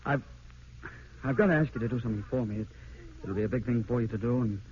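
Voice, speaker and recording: An actor playing an earnest, tender husband and adoptive father in an old radio drama, sincere and halting. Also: medium pitch, a little mellow, lightly acted. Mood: hesitant, sincere. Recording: muffled, noisy old radio recording.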